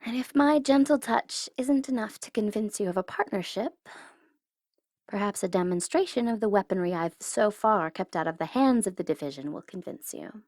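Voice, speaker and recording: A woman's voice: medium pitch, expressive, normal speed, darkish tone, no echo, studio quality. A woman playing a smug, secretly tender female supervillain mastermind in an intimate, theatrical ASMR roleplay, teasing and easily flustered. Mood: smug, commanding.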